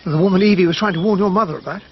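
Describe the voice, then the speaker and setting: An actor playing a courteous British army officer in a period radio drama, with a genteel, expressive way of speaking. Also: male voice, high voice, rapid-fire, dull audio, slight background noise. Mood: curious. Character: courteous, observant army officer narrating a country-house mystery.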